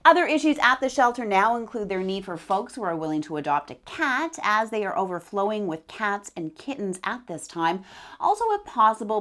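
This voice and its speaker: A woman's voice: mid-range voice, fairly bright tone. A woman presenting scripted local news as an anchor.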